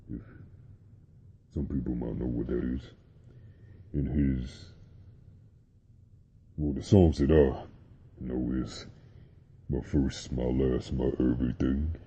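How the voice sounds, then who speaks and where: A man's voice: a bass voice, breathy edge. A young man talking casually and rambling a little, using slang as he chats to his viewers. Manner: relaxed, rambling, with fillers and slang. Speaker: vlogger. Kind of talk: casual talk to camera about deep voices. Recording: dull lo-fi sound.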